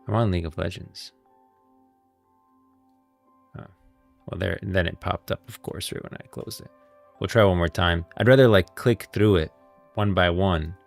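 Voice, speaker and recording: A man's voice: low voice, normal speed, creaky, pauses often, faint music behind. A man giving casual, off-the-cuff livestream commentary, thinking aloud and offering opinions as he explains a story. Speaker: live streamer and lore commentator.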